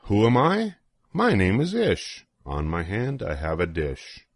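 Older man as a proud whimsical storybook creature, lowish. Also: wildly swooping pitch, measured pace, no echo, clean studio sound, exaggerated voice.

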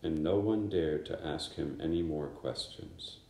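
A man in his fifties, a priest, reading the Gospel and giving a conversational, unhurried homily in a small chapel. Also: a bass voice, vocal fry, mellow, echoey room. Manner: conversational, unhurried preaching with gestures. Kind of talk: homily at daily Mass. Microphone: camera in front of him.

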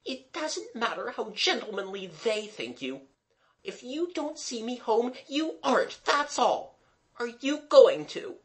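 A narrator voicing a high, plucky young girl. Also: very animated, unhurried, fairly bright tone. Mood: demanding, anxious. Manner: emphatic, retorting. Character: demanding, plucky young girl, stoutly defiant.

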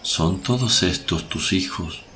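A solemn male holy teacher played by an actor in a radio play. His low voice moves slowly with compassionate authority, over faint birdsong. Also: sharp tone, a little hiss or hum, exaggerated voice. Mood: solemn, gentle. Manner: solemn, measured. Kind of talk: Spanish-language radio play acting.

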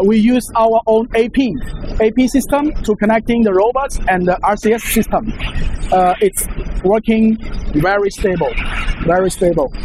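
A man in his thirties speaks accented English, Chinese being his first language, in a fairly high voice as he walks a visitor through a warehouse. He explains steadily but keeps repeating phrases and restarting mid-sentence, filling the gaps with "uh". A lapel mic catches him closely while a faint hum of machinery carries through the large indoor space. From China. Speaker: company presenter giving a warehouse tour. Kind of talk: guided facility tour explanation.

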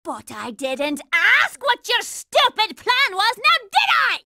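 A woman's voice: extremely high pitch, wildly swooping pitch, takes it slowly, pushed and bright, no room sound, studio quality, full character voice. A woman voicing a bossy, hot-tempered young girl soldier in a cartoon video game, brash, scolding and sarcastic. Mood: scornful, irritated.

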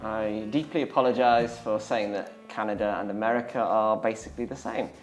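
A young man from Liverpool with a soft English accent, a guest chatting at a kitchen table, agreeable and quick to say yeah. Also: fairly low pitch, steady tone, roomy sound, faint music behind. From Liverpool, England. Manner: apologetic, agreeable, lots of yeahs. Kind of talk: casual chat. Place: farmhouse kitchen.

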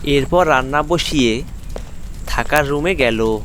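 A man narrating an animated folk tale in a scripted storytelling style. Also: medium pitch, slow pace, a little hiss or hum.

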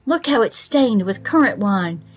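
A woman's voice: mid-range voice, animated, unhurried, slightly rough. A woman voicing a stout little hedgehog washerwoman from a children's storybook, anxious and deferential, with servile, rustic politeness. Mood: fussy, chatty. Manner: bobbing, servile politeness with rustic turns of phrase.

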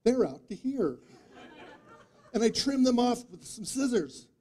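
A man in his fifties giving a humorous storytelling speech, with theatrical deadpan delivery and dramatic pauses. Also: very high voice, some chatter behind. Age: 50s. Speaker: speech contest speaker. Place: meeting room before a live audience, indoors. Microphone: distant audience camera microphone.

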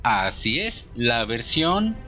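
A man's voice: mid-range voice, sing-song, takes it slowly, bright, forceful tone, dull audio, faint music behind. A Mexican man giving an informal scripted film review in a sarcastic, slangy, opinionated way. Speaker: video reviewer.

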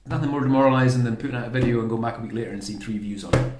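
A man's voice: medium pitch, very fast, noisy background. A British man giving a casual, unscripted tutorial indoors, informal and often correcting himself as he explains. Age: 30s to 40s. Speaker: host of a how-to sailing channel. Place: small home kitchen or room, indoors. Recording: roomy, echoey indoor sound.

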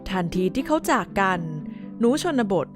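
A woman's voice: fairly high pitch, expressive, normal speed, over music. A woman narrator reading a scripted bedtime story for children.

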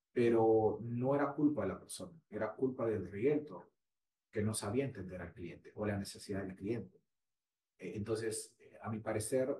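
A man in his forties explaining home buying on a video call, with steady explanatory talk that he tags with "¿no?". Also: on the low side. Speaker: real estate agent. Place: small room at home. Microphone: laptop webcam. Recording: roomy, distant mic with faint hiss.

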